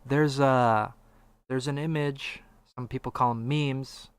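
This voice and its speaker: A man's voice: medium pitch, steady tone, slow pace, smooth, dry recording. A man hosting a casual podcast discussion, posing questions and often searching for his words. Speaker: podcast host asking questions.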